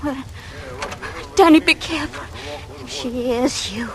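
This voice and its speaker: An actress playing a young, clinging English maid in a radio drama, with a working-class accent and a plaintive, breathless delivery. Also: female voice, sits high, a little slow, vocal fry. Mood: anxious, gossipy. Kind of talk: 1940s radio acting. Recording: noisy vintage radio recording.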